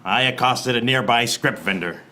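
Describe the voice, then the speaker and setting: An actor playing a hapless, exasperated private eye, narrating deadpan in a parody noir radio play. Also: male voice, medium pitch, moderate pace, heavy background noise, close to an everyday voice. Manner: deadpan hard-boiled narration.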